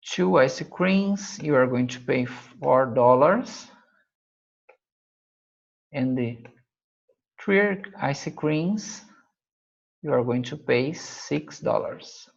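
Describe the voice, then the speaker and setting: A man from Brazil with a Brazilian Portuguese accent, a native Portuguese speaker teaching math over slides, halting and searching for his English words with frequent fillers. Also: mid-range voice, expressive, creaky, dull audio. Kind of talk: slide-based math lesson.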